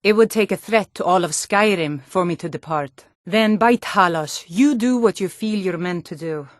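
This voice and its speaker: A woman's voice: lowish voice, normal speed, no echo, studio quality, natural voice. A woman voicing a hardy, forthright Nord townswoman and guard in a fantasy video game, speaking in an earnest, firm, plain-spoken way. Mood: earnest, reassuring.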